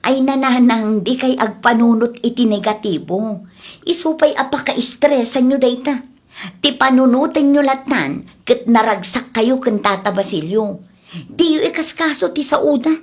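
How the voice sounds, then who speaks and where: A woman's voice: a little high, a little slow, close to an everyday voice. A woman acting an outspoken, emotional village woman in an audio comedy drama. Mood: concerned, soothing.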